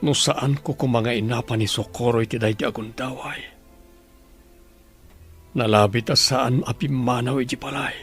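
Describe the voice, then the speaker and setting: A man acting in a radio drama as a wealthy husband who pleads prayerfully, with a breathy delivery. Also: medium pitch, fairly even intonation, normal speed, pauses often, a bit bright, soft background music, natural voice. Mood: anguished, pleading. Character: anguished wealthy husband praying for his wife.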